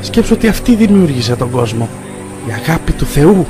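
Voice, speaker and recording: An actor playing a good-natured, simple cartoon monk who speaks in an expressive, folksy way over music. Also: male voice, fairly high pitch. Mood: amazed. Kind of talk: animated film voice acting. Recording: guitar music under the voice.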